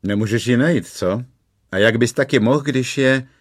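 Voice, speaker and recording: A man's voice: medium pitch, no room sound, voice pushed up, close to an everyday voice. Storybook villain: sneering police sergeant, taunting and sadistic, read by a man with a dry close sound. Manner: mocking, slow and taunting, bursting into shouts. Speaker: character in audiobook. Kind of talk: audiobook character voice.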